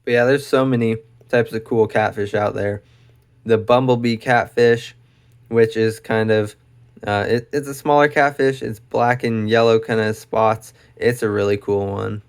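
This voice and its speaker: A young man hosting an educational video, talking casually and enthusiastically about animals, with lots of fillers, his voice getting hoarse. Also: lowish voice, steady tone, unhurried. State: losing his voice. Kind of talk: casual unscripted explainer.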